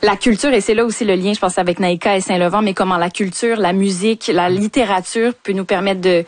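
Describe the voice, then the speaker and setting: A woman's voice: medium pitch, steady tone, a little fast, no room sound. A woman presenting a book on a radio culture segment, talking at length with many fillers and restarts.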